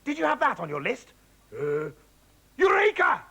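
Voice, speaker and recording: Blustering, excitable farmer dad, as a man puts him on. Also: a little high, normal speed, gravelly, exaggerated voice. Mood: excited, pushy. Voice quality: eager, blustering. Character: excitable, scheming farmer father.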